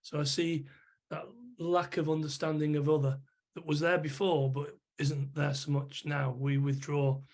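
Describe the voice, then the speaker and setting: A man working as a psychotherapist, answering interview questions thoughtfully with a rough voice from a chest infection. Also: on the high side, level tone, a little slow, darkish tone. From England. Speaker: psychotherapist guest.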